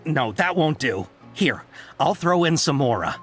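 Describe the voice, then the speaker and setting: A man's voice: a little high, big pitch swings, unhurried, fairly bright tone, faint music behind. A man voicing a grateful, generous caravan merchant in a video game, effusive and eager to please. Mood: insistent.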